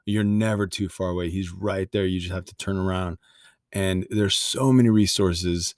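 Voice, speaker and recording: A deep-voiced man co-hosting a faith podcast. He rambles in a relaxed way and jokes now and then. His voice sounds dry and close to the mic. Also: normal speed, no room sound. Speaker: podcast co-host. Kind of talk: casual faith podcast chat.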